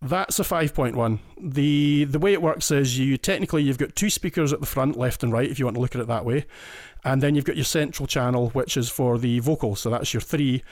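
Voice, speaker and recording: A man's voice: medium pitch, fairly quick, few pauses, darkish tone, no echo, studio quality. A man with a Scottish accent, a listener guest on a podcast, explaining his home audio setup in a chatty, detailed way. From Scotland. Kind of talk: casual explaining.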